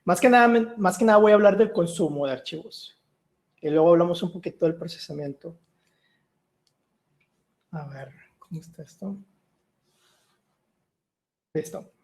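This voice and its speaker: A middle-aged man from Mexico, giving a tech talk on a video call, relaxed and full of "eh" fillers. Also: sits high, normal speed, pauses often. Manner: relaxed, with many "eh" and "ah" fillers and restarts. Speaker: guest speaker, solution architect. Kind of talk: online tech meetup presentation.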